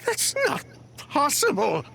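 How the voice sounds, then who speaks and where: A grief-stricken young man chokes out his words through tears in a game scene. His husky, gravelly voice drags slowly and lurches wildly in pitch. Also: wildly swooping pitch, pushed and bright, a little hiss or hum, exaggerated voice. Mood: distraught. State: crying. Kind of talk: video game dialogue.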